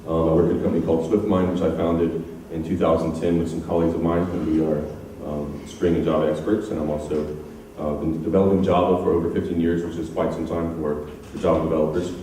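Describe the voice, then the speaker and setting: An American man giving a technical conference talk in a relaxed, chatty way with frequent ums. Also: a bass voice, fast. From United States. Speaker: conference speaker. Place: large conference hall. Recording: echoey hall, distant mic, faint noise.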